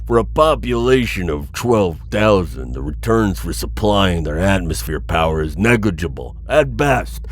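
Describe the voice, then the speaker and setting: Man playing a very deep, callous corporate executive. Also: animated, a little slow, few pauses, slight background noise. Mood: cold, matter-of-fact. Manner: clinical, matter-of-fact, dismissive. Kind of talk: audio roleplay acting, boardroom recording.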